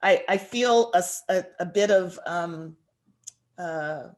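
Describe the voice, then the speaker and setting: A woman speaking as a guest author, reflective and expressive, deliberately drawing out words for emphasis. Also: fairly low pitch. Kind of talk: reflective interview answers and poetry reading.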